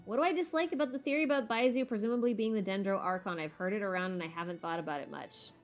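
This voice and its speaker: A woman's voice: medium pitch, rapid-fire. A woman hosting a livestream, answering viewer questions in a casual, opinionated way as she thinks aloud, with informal, emphatic phrasing. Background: background music.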